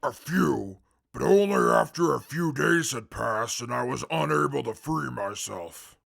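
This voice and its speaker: A very gravelly, hard-edged mutant brute in a wasteland audio drama. He is big, pompous and short-tempered, speaking slowly in formal, blustering phrases that swing widely in pitch. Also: animated, full character voice. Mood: gruff, grumbling. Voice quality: gravelly, forceful. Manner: formal, blustering, indignant speech. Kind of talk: post-apocalyptic audio drama acting.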